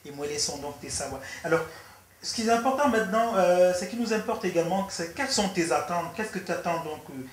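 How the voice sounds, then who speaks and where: A man's voice: high voice, expressive, fairly quick. A man interviewing a guest, asking questions hesitantly with many fillers. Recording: echoey room with faint noise.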